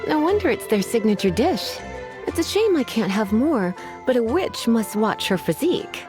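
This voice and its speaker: A woman's voice: fairly high pitch, big pitch swings, normal speed, music in the background. A woman playing a languid, flirtatious witch librarian in a video game, with a coy, teasing, polite way of talking. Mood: pleased, coy.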